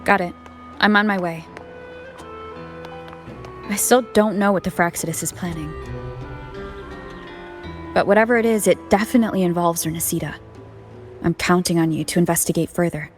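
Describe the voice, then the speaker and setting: A woman's voice: fairly low pitch, normal speed, pauses often, soft background music, close to an everyday voice. A woman voicing a cool, resolute young adventurer in a video game, terse and matter-of-fact. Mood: serious, pensive.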